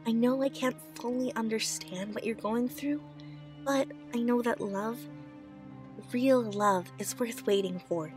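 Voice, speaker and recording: A woman's voice: on the high side, measured pace, music in the background, lightly acted. A woman playing an anxious, kind young pony aide in a radio drama, earnest and easily flustered. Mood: gentle, earnest. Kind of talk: fan radio drama acting.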